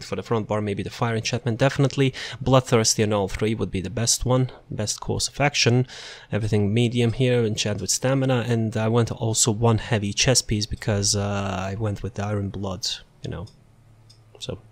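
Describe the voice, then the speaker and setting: A man casually walking through a game character build, relaxed and rambling, with frequent fillers and restarts. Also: fairly low pitch. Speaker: gaming content creator. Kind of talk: casual build showcase commentary.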